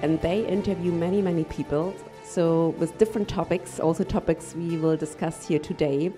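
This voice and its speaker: A woman introducing speakers on a conference stage in a polite, halting, formal way. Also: lowish voice, fairly even intonation, over music. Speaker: conference presenter introducing guests.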